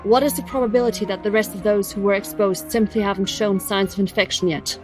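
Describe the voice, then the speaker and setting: A woman playing a calm, commanding young princess in an audio drama, measured, formal and determined. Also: medium pitch, music in the background, lightly acted.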